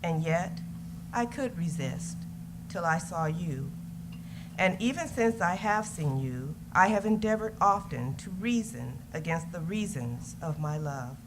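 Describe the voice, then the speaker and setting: Devoted, passionate lover played by a woman, deep female register, staged letter reading, clearly noisy recording. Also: animated, measured pace. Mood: impassioned. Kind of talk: readers theater love letter. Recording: noisy live event recording.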